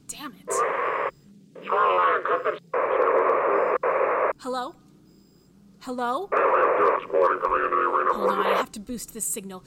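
A woman playing a curious, wry field scientist in an audio drama, talking to herself in halting musings. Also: sits high, creaky, very noisy, heavily acted. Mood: alarmed, urgent.

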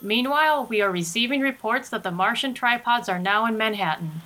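Bright-voiced radio newswoman. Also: on the high side, brisk, rough recording. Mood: grave urgency. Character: composed, urgent female radio news anchor. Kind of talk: old radio newscast acting. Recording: faint background noise.

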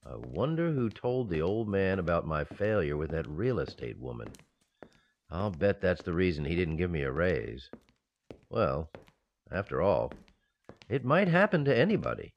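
Young male office worker, radio comedy, played by an actor: very low voice, lively intonation, nervous and earnest. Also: normal speed, muffled recording, full character voice. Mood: worried. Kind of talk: radio sitcom acting.

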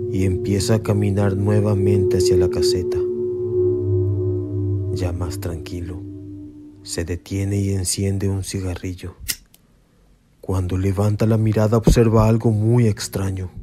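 A man's voice: low-pitched, little pitch movement, measured pace, pauses often. A man narrating a scripted horror radio story with dramatic suspense. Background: music and night insects.

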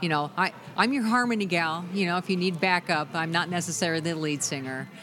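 A middle-aged woman with a deep voice, a musician guest chatting loosely in an interview, full of restarts and "you know". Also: brisk, a bit bright, a little hiss or hum. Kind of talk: casual interview chat.

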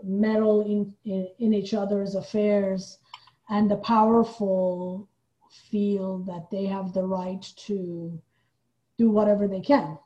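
A woman's voice: mid-range voice, flat delivery, slow pace, warm tone, highs cut off. A woman from Iran speaking thoughtfully and hesitantly as a guest in a conversational interview. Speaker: guest, playwright and theater artistic director.

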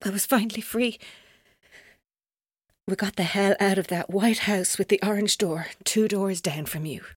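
A woman's voice: medium pitch, normal speed, no room sound, clean studio sound, lightly acted. An actress playing a vengeful, unstable woman, long bullied, in an audio drama. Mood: cold, menacing.

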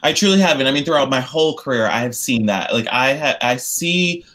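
A man's voice: medium pitch, fairly quick. A young man from the United States, talking about his activist work in an interview, earnest and rambling with lots of fillers and restarts. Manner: earnest and expressive. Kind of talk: casual interview conversation.

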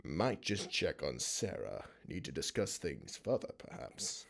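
A man acting a smarmy headmaster in a radio drama, slow and gravelly. He oozes along haltingly, with an insinuating, creepy manner and a pitch that slides about. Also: big pitch swings, fairly bright tone, faint music behind, full character voice. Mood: scheming, casual.

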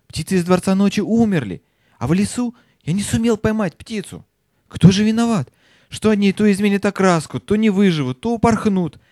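A man's voice: high voice, a little mellow, no echo. Male actor as an earnest little boy, dry sound. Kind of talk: audio play acting.

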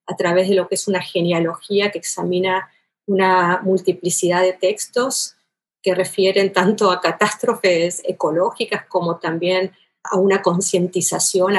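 Husky-voiced Argentine porteño woman scholar. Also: on the low side, flat delivery, moderate pace. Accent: Argentine porteño Spanish. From Buenos Aires, Argentina. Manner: thoughtful, elaborate, with frequent restarts and "¿no?" tags. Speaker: literary scholar, interview guest. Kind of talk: academic interview talk.

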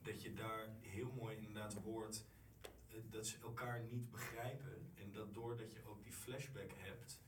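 A young man in a casual group discussion, searching haltingly for words, recorded from a distance in an echoey, noisy room. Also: lowish voice, fairly even intonation. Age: young adult. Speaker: drama student discussing a play. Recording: echoey room, distant mic, loud noise.

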